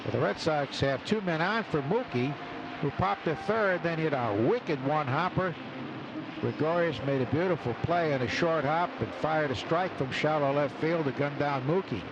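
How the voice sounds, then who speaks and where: A man's voice: on the high side, normal speed, lo-fi sound. A man doing live play-by-play baseball commentary as a radio announcer. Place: open broadcast booth at outdoor ballpark. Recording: noisy outdoor ballpark radio broadcast. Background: loud stadium ambience.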